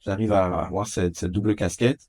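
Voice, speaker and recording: A man in his thirties from France, a podcast guest telling stories of his youth in a casual, animated way with many hesitations. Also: a little fast. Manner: animated, lots of filler hesitations. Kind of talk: casual storytelling. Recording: dull low-fidelity podcast audio.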